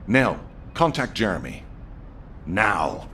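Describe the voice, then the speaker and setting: Stern military leader for a game. Authoritative, intense, highly animated, mid register over faint rumble. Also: male voice, slow pace, vocal fry, full character voice. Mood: troubled, resolute. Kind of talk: video game voice acting.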